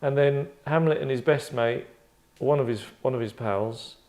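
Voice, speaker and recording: A man's voice: medium pitch, moderate pace, darkish tone. A man talking casually and comically as he leads a group of actors, rambling and breaking into his own sentences with dry humour. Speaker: table read leader among actors.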